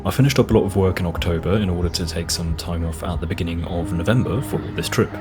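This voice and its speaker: Deep-voiced young man narrating a vlog. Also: fast talker, music in the background. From Austria. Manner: relaxed, friendly storytelling with light self-deprecating humor. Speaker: travel vlogger. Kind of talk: scripted voice-over narration.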